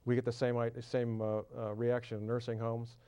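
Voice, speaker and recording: A man who sings in a barbershop quartet, answering interview questions hesitantly with many pauses and fillers. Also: mid-range voice, completely flat delivery, smooth. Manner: hesitant, many ums and uhs, warm-hearted.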